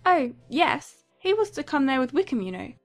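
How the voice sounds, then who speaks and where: A woman's voice: high voice, very animated, moderate pace, faint music behind. A woman playing a giddy, chattering young newlywed in a dramatized audiobook, gushing and rambling with thoughtless self-absorption. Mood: flustered. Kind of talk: dramatized audiobook character acting.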